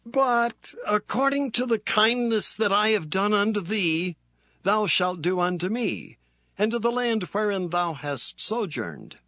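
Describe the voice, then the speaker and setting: A man voicing an old king who speaks in a slow, drawn-out, pleading way. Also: sits high, very animated, bright, forceful tone, dry recording, pitched above the performer's own voice, heavily acted. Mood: earnest.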